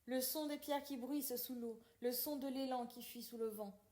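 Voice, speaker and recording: Woman, poetry recitation, dark soft-edged timbre, deliberate declamatory phrasing, strong room echo. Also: high voice, steady tone. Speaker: podcast reader. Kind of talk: literary poetry reading. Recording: roomy, echoing sound.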